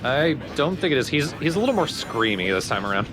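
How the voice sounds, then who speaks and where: A man's voice: a little high, very animated, fast. A man giving casual gaming commentary in a dry, explanatory way. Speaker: let's play co-host. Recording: voice over loud game music. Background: game music and sound effects.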